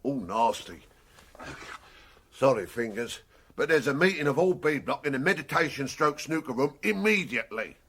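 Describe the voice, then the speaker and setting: An actor playing a flustered, excitable prison messenger in a comic radio play. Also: male voice, medium pitch, normal speed, slightly rough. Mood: alarmed, apologetic.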